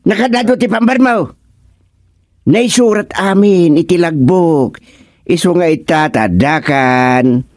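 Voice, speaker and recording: A man's voice: fairly high pitch, takes it slowly, a little mellow, no room sound, studio quality. A Filipino man playing a stern, sarcastic village official in a radio comedy drama.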